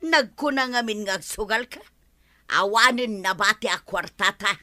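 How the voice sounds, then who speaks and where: A woman's voice: mid-range voice, moderate pace, sharp tone, no echo, clean studio sound, lightly acted. A woman with an Ilocano accent playing an exasperated wife in a comedy drama, nagging and reproaching her gambler husband. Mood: reproachful.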